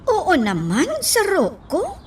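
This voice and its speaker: Woman playing a very high-pitched playful young woman. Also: wildly swooping pitch, slow pace, recorded from a distance, slight background noise, heavily acted. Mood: agreeable. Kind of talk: radio drama acting.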